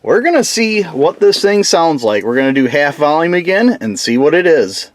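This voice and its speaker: A man's voice: on the high side, very animated, normal speed. A man hosting a DIY build video, talking informally and folksily through a casual hands-on demonstration. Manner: informal, folksy, mild slang. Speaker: host of a DIY truck build channel.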